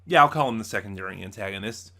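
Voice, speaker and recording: Bright, forceful man giving a casual review. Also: on the low side, animated. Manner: halting, thinking aloud, often backtracking and rephrasing. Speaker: audio drama reviewer, channel host. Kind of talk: casual spoken review to viewers.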